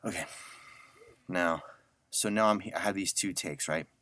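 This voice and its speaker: A man's voice: low voice, moderate pace, fairly bright tone, a little hiss or hum. A man giving a casual audio editing tutorial, informally muttering to himself as he works. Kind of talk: casual screen-recorded software tutorial.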